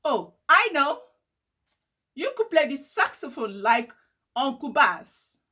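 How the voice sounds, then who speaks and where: A woman's voice: sits high, big pitch swings, slow, sharp tone, pitched above the performer's own voice, full character voice. A woman voicing an encouraging, upbeat older boy cousin in a storybook, reassuring and enthusiastic.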